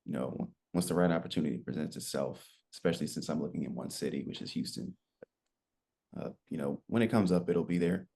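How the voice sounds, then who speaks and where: A man in his twenties telling his story as a podcast guest, relaxed and rambling, with lots of fillers. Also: rumbling low, brisk, gravelly, slightly rough. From United States. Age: 20s. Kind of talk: casual interview chat.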